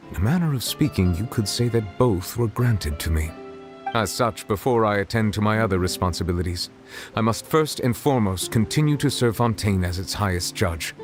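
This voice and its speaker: A low, smooth male voice sits over clear background music. It is a stately dragon judge from a video game, acted by a man, reserved and eloquent. Each phrase is measured, formal and solemn. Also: natural voice. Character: solemn, dignified water dragon serving as chief judge. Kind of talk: video game character dialogue.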